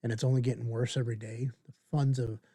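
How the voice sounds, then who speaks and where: A man in his fifties hosting a casual screen-share software tutorial, informally thinking aloud as he demonstrates. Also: medium pitch, level tone, fast talker, breathy edge, no echo. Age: 50s. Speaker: video tutorial host.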